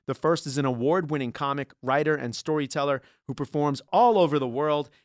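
A man's voice: on the high side, brisk, dry recording. Jokey male podcast host, dry studio sound. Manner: jokey, laughs often. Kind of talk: playful podcast hosting.

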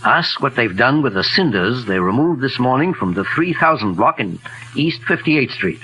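An actor playing a kindly, shrewd older gentleman detective, measured and courteous, in 1940s radio drama style. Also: mid-range voice, sing-song, poor audio. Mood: brisk, businesslike. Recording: old 1940s radio recording.

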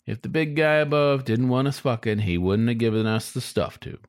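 A man voicing a folksy, philosophical old male coyote cab driver in an audiobook story, giving unhurried advice. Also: mid-range voice, smooth, no echo, clean studio sound, lightly acted. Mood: easygoing.

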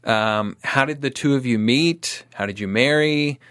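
A man hosting an interview podcast, speaking in an earnest, measured way. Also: medium pitch, a bit bright, smooth, dry recording, studio quality. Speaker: host.

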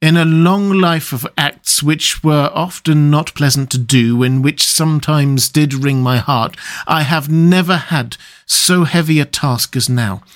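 A man's voice: fairly high pitch, lively intonation, measured pace, fairly bright tone, pitched above the performer's own voice. A man voicing a grave, stern but compassionate old professor in an audiobook, speaking in solemn, earnest, formal phrases. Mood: pleading, tender. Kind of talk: dramatic audiobook character reading.

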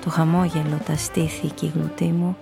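An actress playing a cynical, seductive femme fatale, confessing her story in an intimate, breathy way in a noir radio play. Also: low voice, little pitch movement, over music. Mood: sultry, self-assured. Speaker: narrator and lead character.

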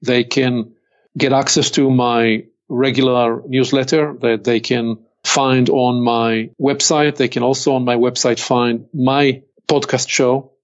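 Measured man with a Hebrew accent. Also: mid-range voice, flat delivery, muffled recording. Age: older. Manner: measured, deliberate, slows to stress points. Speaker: podcast guest, executive consultant. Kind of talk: reflective interview talk.

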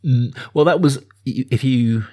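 A man's voice: mid-range voice, slow pace, mellow. A man, talking casually and with rambling enthusiasm as a film podcast host. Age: 30s.

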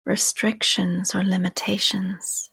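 A woman guides a meditation in a very breathy, whispery voice. She speaks slowly and calmly, leaving long pauses between soft, soothing instructions. Her pitch sits in a middle range for a woman. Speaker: meditation guide and podcast host. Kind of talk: guided meditation, slow scripted narration.

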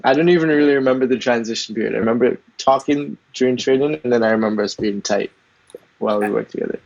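A man's voice: mid-range voice, fast talker, vocal fry, muffled recording. A young man in his twenties, a guest chatting casually on a podcast, easygoing and laughing. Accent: non-American. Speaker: guest, visual artist.

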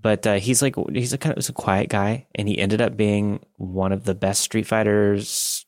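A man's voice: fairly low pitch, fairly even intonation, moderate pace, no room sound, clean studio sound. A man co-hosting a podcast, chatting casually and telling personal anecdotes with chatty enthusiasm. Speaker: podcast co-host.

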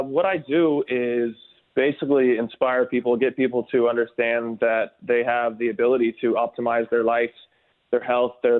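A man, a wellness coach, explaining his work earnestly with many fillers. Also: medium pitch. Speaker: podcast guest, wellness coach. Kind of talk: casual interview talk. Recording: phone-like remote line.

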